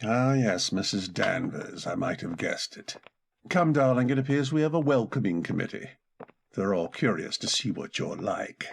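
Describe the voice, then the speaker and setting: A man's voice: lowish voice, expressive, moderate pace, gravelly, a little hiss or hum. A man playing a genial, commanding upper-class master of an estate, courteous and urbane, in an old-time radio drama. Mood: brisk, courteous.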